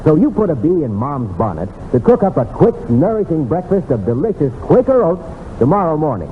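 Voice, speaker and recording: An American man delivers an old-time radio commercial in a folksy style. His voice is animated and a little high, on a thin, reverberant recording with faint noise. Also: brisk, phone-quality sound. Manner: folksy, addressing young listeners. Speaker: announcer. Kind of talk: 1940s radio advertising pitch.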